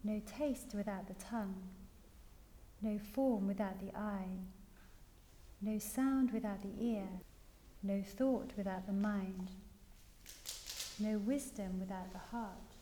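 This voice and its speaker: A woman reading a spiritual text aloud in a measured, litany-like recitation. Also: mid-range voice, dark tone. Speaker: audience member reading aloud. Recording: reverberant hall with faint noise.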